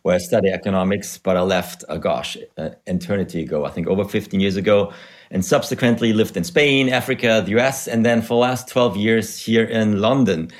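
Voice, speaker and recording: A man in his thirties from Germany with a German accent, explaining things in a measured way as a podcast guest. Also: lowish voice. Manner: measured, explanatory, uses you know. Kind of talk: podcast interview.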